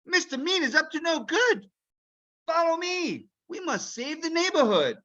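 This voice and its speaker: A man's voice: extremely high pitch, big pitch swings, measured pace, bright, forceful tone, dull audio, voice pushed up, exaggerated voice. A man voicing an earnest, bold young boy hero from a storybook, exclaiming with urgency. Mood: bold, alarmed.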